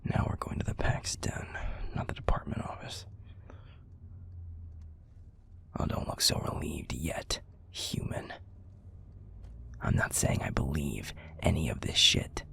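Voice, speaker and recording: Man as a gravelly, menacing werewolf enforcer. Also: very deep voice, animated, a little slow, bright, forceful tone, whispered, heavily acted. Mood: grudging, threatening. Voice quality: gravelly, husky, breathy. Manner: gruff, profane, confrontational. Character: bitter, menacing male werewolf pack enforcer, jaded and cynical. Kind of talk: scripted audio roleplay acting.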